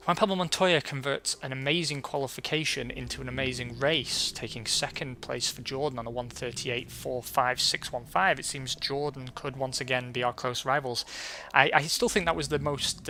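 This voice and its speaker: A man casually commentating a racing management game as a let's play host, his voice going hoarse and clearing his throat now and then. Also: mid-range voice, slight background noise. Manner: relaxed and chatty, reading out results.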